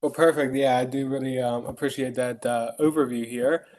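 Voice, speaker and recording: A man's voice: medium pitch, steady tone, moderate pace, darkish tone. A man hosting a podcast, asking questions in a friendly, hesitant way with many fillers. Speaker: podcast host. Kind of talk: casual interview questions.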